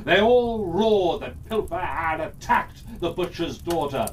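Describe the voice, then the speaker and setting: A storyteller narrating a children's fairytale in a theatrical way, pausing often within phrases. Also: mid-range voice, lively intonation, far from the mic, slight background noise.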